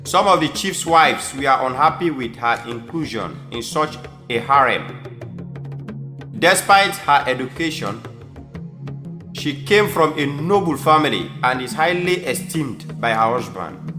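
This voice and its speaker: A man with a Sierra Leonean accent narrates a scripted audio drama at an unhurried, slow pace. His voice echoes in the room, and music plays clearly behind him. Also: mid-range voice.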